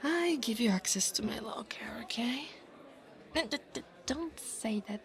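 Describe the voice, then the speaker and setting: Woman acting out a young dragon girl, unhurried delivery, haughty, insecure, defensive bully, flustered stammers, breathy near-whispers, ASMR roleplay. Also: a little high, animated. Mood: flustered, threatening. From Italy. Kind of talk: scripted ASMR monster roleplay, acting one side of a conversation.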